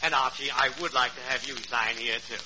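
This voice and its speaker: An actor playing a genteel, smooth-talking salesman in a 1940s radio comedy, with a prim, courteous and ingratiating manner. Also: medium pitch, steady tone, brisk, natural voice. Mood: cheery, ingratiating. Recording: noisy, muffled old radio recording.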